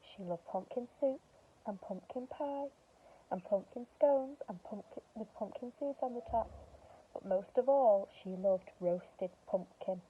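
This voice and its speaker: A woman's voice: medium pitch, measured pace, phone-quality sound, a little hiss or hum. A woman speaking to young children as a teacher and story reader, explaining simply, with questions and emphasis. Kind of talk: speaking to young children, reading a picture book.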